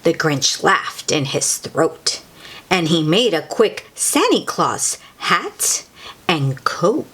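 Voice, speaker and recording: Woman, children's story host. Very lively intonation, unhurried pace, exclaims between lines of the book. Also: deep voice, husky. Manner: animated, exclaiming, drawn-out words for effect. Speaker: children's read-aloud host. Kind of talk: expressive picture-book read-aloud with asides to young listeners.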